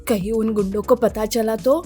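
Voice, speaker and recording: A woman's voice: on the high side, fairly even intonation, moderate pace, warm tone, natural voice. A woman playing a worried, suspicious and nagging wife in a story. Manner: nagging, anxious. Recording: music behind the voice.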